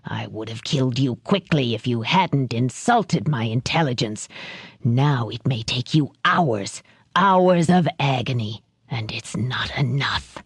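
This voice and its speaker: A woman voicing a cold, refined and sadistic male crime boss who speaks with soft, clipped menace. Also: very deep voice, animated, measured pace, husky, no echo, clean studio sound, pitched below the performer's own voice, full character voice.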